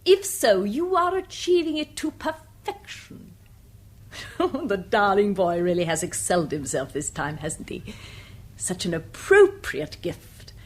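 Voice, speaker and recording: An actress playing a mocking, flirtatious middle-aged English wife in a radio drama, theatrical and sweetly condescending. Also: female voice, mid-range voice, lively intonation, slow, slight background noise. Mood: cruel taunting. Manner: taunting, theatrical, sugary condescension. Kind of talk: 1970s radio drama acting.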